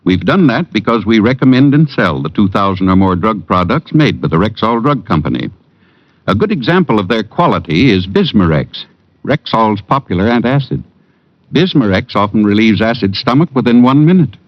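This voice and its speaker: A man reading a scripted 1940s radio advertisement as a formal, measured spokesman. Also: sing-song, no echo. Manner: measured, formal pitchman delivery. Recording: muffled old radio recording.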